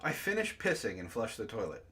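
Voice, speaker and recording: Animated, bright-voiced man reading aloud. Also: fairly low pitch, brisk. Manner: sardonic, profane. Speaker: book club host reading a story aloud with commentary. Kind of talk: casual read-aloud narration with mocking asides.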